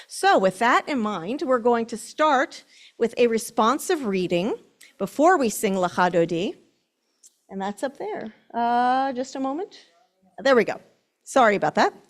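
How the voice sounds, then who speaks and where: Woman, rabbi, high pitch, slow pace, instructive, leading a religious service. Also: expressive. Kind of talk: explaining and leading worship to congregation.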